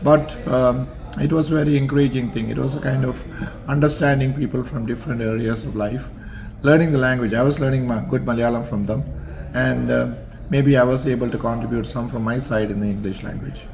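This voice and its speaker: An older man from Singapore, answering interview questions about his college years in a measured, formal way with frequent pauses and fillers. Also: medium pitch, fairly even intonation, creaky, a little mellow, a little hiss or hum, poor audio. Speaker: interview guest, alumnus.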